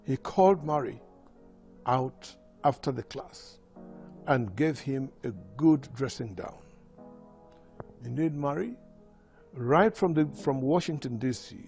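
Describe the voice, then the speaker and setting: An older man with a Nigerian accent reading his memoir aloud in a measured storytelling manner. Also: medium pitch, darkish tone. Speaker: author reading from his autobiography.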